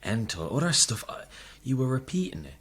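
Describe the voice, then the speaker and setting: A man's voice: mid-range voice, very animated, fairly quick, a bit bright, slightly rough. An actor playing an earnest, concerned colleague in an audio drama, plain-spoken and convinced of what he saw. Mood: uneasy. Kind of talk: naturalistic audio drama acting.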